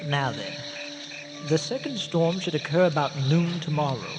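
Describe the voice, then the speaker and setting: A man's voice: on the high side, animated, moderate pace, music in the background, natural voice. Man playing courtly old-fashioned explorer in cavernous reverb. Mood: wistful. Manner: formal, old-fashioned, hesitant stammering. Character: eccentric, long-stranded scientist explorer, earnest and courtly. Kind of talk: radio drama acting. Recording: noisy radio drama, long cavernous reverb.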